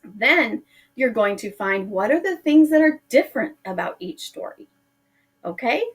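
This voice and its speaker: Slow, expressive woman teacher reading aloud. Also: on the high side, husky. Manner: expressive, drawing out words for effect. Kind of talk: reading a picture book aloud to pupils.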